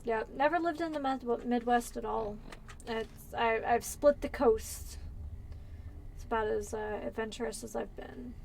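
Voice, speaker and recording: A woman's voice: a little high, measured pace, creaky, a little mellow, a little hiss or hum, rough recording. A woman from Pennsylvania with a Californian accent, chatting casually and rambling as she streams a game. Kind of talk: casual gameplay chat.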